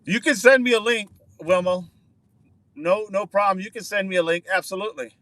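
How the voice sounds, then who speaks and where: A man's voice: high voice, brisk, fairly bright tone, no room sound. A man hosting a casual livestream outdoors, chatting with viewers and answering their comments, often repeating words for emphasis. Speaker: livestream host.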